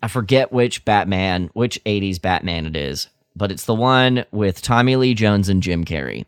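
A man's voice: fairly low pitch, animated, moderate pace, clear, resonant tone, no echo, clean studio sound. A man co-hosting a podcast in casual chat, speaking emphatically and with enthusiasm. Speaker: podcast co-host.

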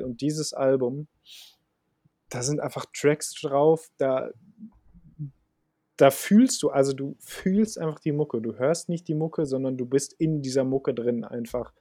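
A man's voice: mid-range voice, mellow, no room sound. Slangy young man, dry close sound. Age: young adult. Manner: colloquial, slangy, opinionated, enthusing. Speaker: podcast co-host. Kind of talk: casual podcast chat about music.

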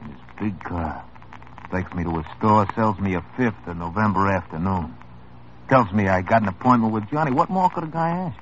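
A deep-voiced wry hoodlum. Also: male voice, expressive, normal speed, a bit bright, slight background noise. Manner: tough, wry, world-weary. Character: sardonic small-time male hoodlum. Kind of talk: 1950s radio drama acting. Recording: muffled old radio broadcast.

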